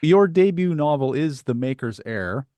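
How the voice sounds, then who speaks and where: A man's voice: on the high side, expressive, moderate pace, warm tone, no echo. A man hosting a conversational interview in a reflective way, often turning statements into questions. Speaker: podcast host.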